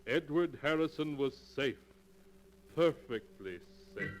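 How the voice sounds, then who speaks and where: A man's voice: a little high, animated, a little hiss or hum. Dramatic man narrating old-time radio. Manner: dramatic, ominous, measured. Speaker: narrator and announcer. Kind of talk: 1940s radio scripted narration.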